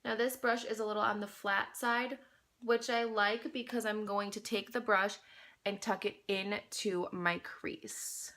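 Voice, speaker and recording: Bright-voiced woman with a nasal cold. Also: mid-range voice, fairly even intonation, a little slow. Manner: chatty, addresses viewers as you guys. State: congested with a cold, slightly nasal. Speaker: beauty vlogger. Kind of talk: casual makeup tutorial chat.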